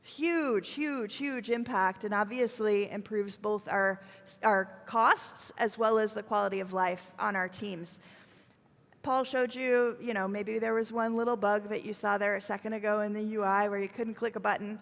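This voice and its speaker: A woman's voice: mid-range voice, fairly even intonation, moderate pace, vocal fry, a bit bright. A woman presenting a tech keynote on a conference stage, explaining things in a conversational way. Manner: conversational, explanatory, checking in with "right?". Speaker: keynote speaker, software executive. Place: large conference hall stage. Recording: echoey conference hall with long reverb.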